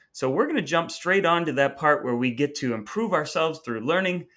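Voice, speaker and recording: A man's voice: mid-range voice, a bit bright. A man hosting a podcast in a scripted yet conversational style, with playfully grandiose, wordy phrasing. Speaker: podcast host.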